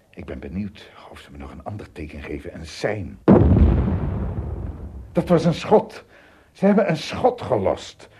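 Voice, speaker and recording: A shipwrecked sailor in a radio drama, real raspy low voice, swings all over the place, very dramatic. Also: male voice, mellow, exaggerated voice. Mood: hopeful wonder. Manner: exclamatory, dramatic, stammering when agitated. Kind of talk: radio play acting.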